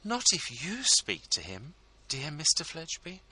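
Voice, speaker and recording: A man voicing a gushing, flattering scheming wife who pleads sweetly in a storybook reading. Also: on the high side, a little slow, breathy edge, voice pushed up, heavily acted, rough recording. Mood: gushing pleading.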